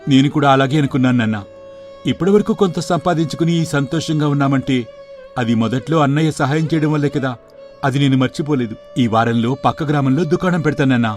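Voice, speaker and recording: A man's voice: medium pitch, fast, a little mellow, soft background music, natural voice. A man voicing an honest, grateful younger brother who speaks respectfully and earnestly in a moral story.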